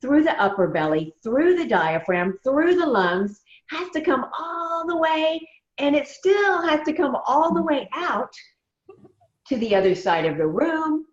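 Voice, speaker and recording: A woman, a voice coach giving measured, emphatic advice in a remote interview. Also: high voice, sing-song. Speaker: voice coach guest. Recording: roomy, dull lo-fi sound.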